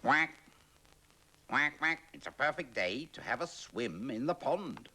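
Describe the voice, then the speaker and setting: A man's voice: medium pitch, sing-song, normal speed, fairly bright tone, slightly rough, pitched above the performer's own voice, exaggerated voice. Man doing a quacky duck voice for a storybook. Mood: quacking. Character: quacking duck longing to swim.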